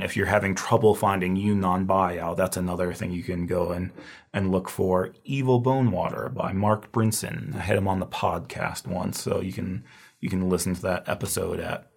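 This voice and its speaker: A man's voice: low-pitched, steady tone, moderate pace, creaky, breathy edge. Scratchy-voiced man giving a loose lecture. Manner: loose and rambling, many fillers and restarts. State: losing his voice, scratchy. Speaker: herbal medicine instructor. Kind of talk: casual lecture review.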